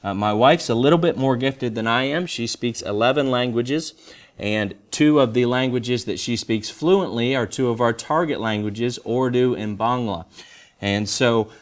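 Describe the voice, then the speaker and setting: A man's voice: medium pitch, moderate pace. A young adult American man, a missionary, speaking to a church congregation in a conversational, explanatory way with rhetorical questions. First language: English.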